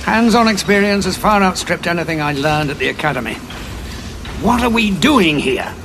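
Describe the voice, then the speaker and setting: A man's voice: sits high, expressive, normal speed, background noise. A man playing a weary, moral renegade Time Lord in an audio drama, sardonic, intense and challenging. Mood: dry, wary.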